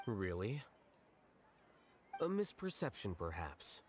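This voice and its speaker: An actor playing an aloof, blunt young scholar-official in a video game, speaking with dry, deadpan precision. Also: male voice, fairly low pitch, takes it slowly, slight background noise. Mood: cool, curt.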